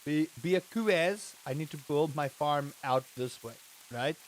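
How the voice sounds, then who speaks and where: A streamer from England chats easily with his live audience while he plays. His voice sits a little high and swings up into excited exclamations, then drops back to a relaxed, running commentary. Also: measured pace, a little hiss or hum, rough recording. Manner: animated, rising to excited exclamations; chatty, addresses chat directly. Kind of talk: live-streamed gameplay chat.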